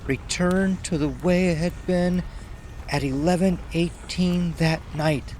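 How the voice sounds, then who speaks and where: A man's voice: sits high, steady tone, takes it slowly, darkish tone, a little hiss or hum, pitched above the performer's own voice. A man voicing an anxious but determined woman in an audio horror drama, with an urgent, strained delivery. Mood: pleading, fearful.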